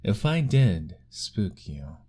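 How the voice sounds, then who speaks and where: A man playing a deep, slow, pleading vampire lord. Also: very animated, breathy edge, exaggerated voice. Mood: gentle. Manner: formal, pleading, soft-spoken. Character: lovestruck courtly male vampire lord, ancient. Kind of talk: ASMR roleplay.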